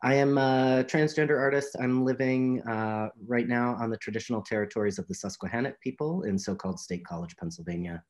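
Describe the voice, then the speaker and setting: A US artist giving an earnest, halting self-introduction on a video call from home. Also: medium pitch, darkish tone. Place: home room. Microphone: video call webcam.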